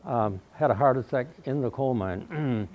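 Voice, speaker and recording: A man around ninety with a mellow voice, telling his life story to a live audience in a folksy way. He speaks loudly because he has no microphone and often clears his throat. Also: mid-range voice, a little slow, phone-quality sound. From Wausau, Wisconsin, USA. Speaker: guest speaker. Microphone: no microphone, recorded in the room.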